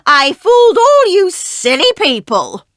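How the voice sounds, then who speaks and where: Man voicing a shrieking storybook witch, high and sly, lively swoops, dry sound. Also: measured pace, dry recording, exaggerated voice. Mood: gloating. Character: cackling wicked witch disguised as doctor.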